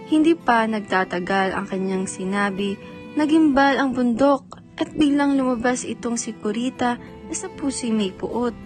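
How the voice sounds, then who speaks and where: A woman's voice: fairly high pitch, a little slow. A woman narrating an epic poem in a scripted, dramatic radio style. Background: faint music.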